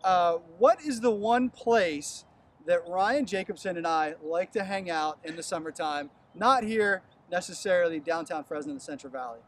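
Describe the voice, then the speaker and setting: A man's voice: high voice, normal speed. An American man in his thirties hosting a casual, upbeat interview in a chummy, informal way. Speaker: host. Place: outdoors on an empty baseball stadium field.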